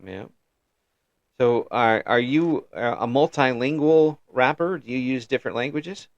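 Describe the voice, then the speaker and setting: A man's voice: mid-range voice, measured pace, no room sound. Middle-aged male host, casual and talkative, lots of restarts; dry, slightly dull recording. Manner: chatty, frequent restarts and affirming "yeah"s. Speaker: interview host. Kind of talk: casual interview.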